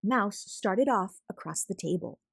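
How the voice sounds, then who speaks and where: A woman reading a children's picture book aloud as a storyteller, expressive and deliberate, drawing out words for emphasis. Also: mid-range voice, vocal fry, breathy edge, no room sound, studio quality. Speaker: storyteller, read-aloud host.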